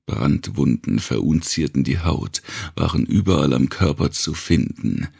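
Very deep, gravelly male audiobook narrator. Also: expressive, a little mellow. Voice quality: gravelly, raspy. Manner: slow, deliberate, dramatic storytelling. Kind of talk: scripted narration of a dark post-apocalyptic thriller.

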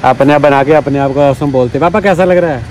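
A man's voice: a little high, fast talker, darkish tone, a little hiss or hum. A man chatting playfully while filming a home cooking vlog with his phone, teasing as he goes. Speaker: vlogger. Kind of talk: casual cooking vlog chat.

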